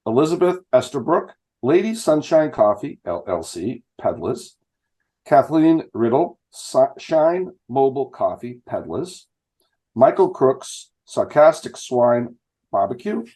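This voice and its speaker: A man, reading a list of license renewals aloud at a town select board meeting, with some fumbles and restarts. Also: mid-range voice. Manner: reads names in a flat list with fumbles and restarts. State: stuffed up from illness.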